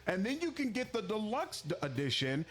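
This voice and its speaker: Higher-voiced man, gaming creator, exasperated and explanatory, casual talk. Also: very animated, brisk, a bit bright. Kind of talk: casual news commentary.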